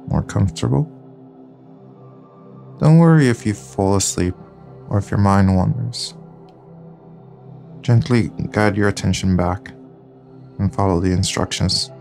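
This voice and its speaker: A man leading a yoga nidra session, giving soft, calm, unhurried instructions with long pauses. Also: lowish voice, vocal fry. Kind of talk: slow guided meditation.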